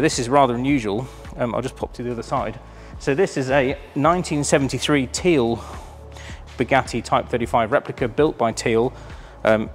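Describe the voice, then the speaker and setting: Enthusiastic man presenting cars. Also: medium pitch. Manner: enthusiastic, chatty, filled with ums. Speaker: auction house presenter. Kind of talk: casual presenting of a car preview. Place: large warehouse showroom with cars.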